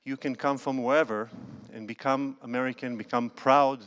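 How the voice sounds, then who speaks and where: A man's voice: medium pitch, fairly even intonation, normal speed, fairly bright tone, clean tone. A conductor and cellist talking to a concert audience from a church stage into a handheld microphone. He tells stories casually, with non-native phrasing and restarts.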